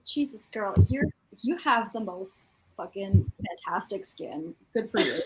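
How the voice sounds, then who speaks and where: A woman's voice: mid-range voice, lively intonation, measured pace, darkish tone, poor audio. A young adult woman from a French-Canadian town chatting casually, with an informal, exclamatory, sweary way of talking. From small French-Canadian town. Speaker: participant in a live reading stream. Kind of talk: casual group chat.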